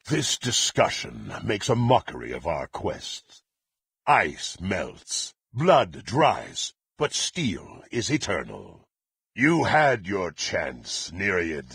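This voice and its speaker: An actor playing a brutal, bloodthirsty fantasy warrior in a video game, haughty and threatening, with a gruff, raspy voice and formal archaic diction. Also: male voice, lowish voice, fairly bright tone, no room sound, studio quality, exaggerated voice. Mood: scornful anger, then grim resolve. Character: brutal, menacing, bloodthirsty male warrior, contemptuous and impatient. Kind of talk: theatrical fantasy game voice acting.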